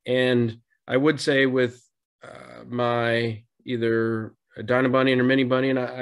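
A man on a video call, a surgeon explaining his approach in a relaxed way, with many "you know" and "kinda" fillers. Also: medium pitch. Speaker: surgeon panelist. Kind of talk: clinical explanation. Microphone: video call webcam.

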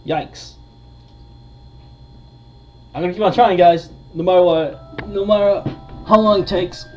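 A man's voice: high voice, steady tone, measured pace, darkish tone, faint music behind. Man doing casual gaming commentary, slightly dull audio. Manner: talking to viewers, thinking aloud. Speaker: gamer, video host. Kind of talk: casual gameplay commentary of a horror game.

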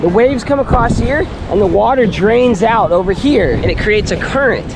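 A man's voice: high voice, animated, normal speed, background noise. A man hosting children's play outdoors at a beach, chatting casually and enthusiastically like a dad with his kids. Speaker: father and children's video host. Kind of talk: casual play chat and commentary. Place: outdoors at a beach, hand-held action camera.

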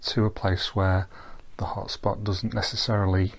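A man giving a relaxed, rambling voice-over walkthrough of a virtual boat tour, with frequent ums and restarts. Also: deep voice, normal speed. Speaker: tour guide. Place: recorded while wearing VR goggles, not on the boat.

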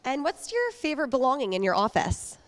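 A woman's voice: high-pitched, sing-song, fairly quick. A young adult woman interviewer asking prepared questions. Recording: audible ambient building noise.

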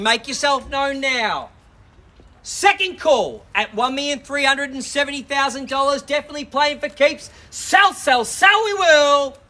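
A man with an Australian accent working as an auctioneer, calling bids at a live property auction on a suburban driveway outdoors and pressing the bidders to act quickly. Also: extremely high pitch, pushed and bright. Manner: brisk, persuasive, pushing bidders to act.

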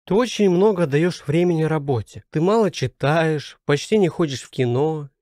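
A man's voice: fairly high pitch, lively intonation, moderate pace, dark tone, no room sound, studio quality, pitched above the performer's own voice, lightly acted. A man voicing a smug, unflappable teenage son who argues and lectures pedantically in a satirical radio play. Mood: calm, lecturing.

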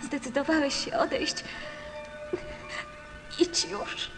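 High-voiced, capricious rose. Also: female voice, level tone, takes it slowly. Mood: proud, insistent. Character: vain, capricious talking rose, proud and tender. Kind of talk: radio drama acting. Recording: music behind the voice. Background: music.

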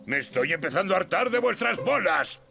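Strict ant general, children's cartoon: high gravelly voice, European Spanish, officious, music underneath. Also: male voice, big pitch swings, brisk, heavily acted. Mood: irritated. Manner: officious, pompous. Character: bossy red ant general, strict rule-obsessed officer. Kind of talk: animated children's cartoon acting. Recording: music behind the voice.

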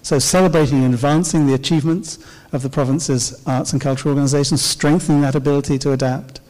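Middle-aged male keynote speaker, warm mellow tone, measured delivery, handheld mic in a big reverberant hall. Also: fairly high pitch, steady tone. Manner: measured, explanatory, occasional "uh" pauses. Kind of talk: conference keynote talk. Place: large dark auditorium stage. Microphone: handheld microphone.

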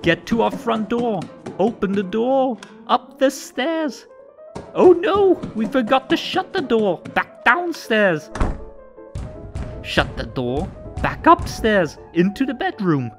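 A man reading a children's story aloud in a theatrical, rhythmic and expressive way. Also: very high voice, takes it slowly. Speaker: storybook narrator. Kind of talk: animated children's read-aloud. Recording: music under the voice. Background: music.

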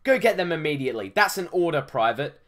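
A man voicing a bossy military commander giving loud, clipped orders in a fantasy story. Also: on the high side, big pitch swings, quick pace, voice pushed up. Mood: commanding, alarmed.

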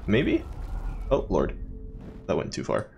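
A man's voice: fairly low pitch, wildly swooping pitch, slow pace, gravelly, a little mellow, faint music behind. A young man giving casual, sarcastic commentary as he plays a game, muttering wry reactions. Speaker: let's player.

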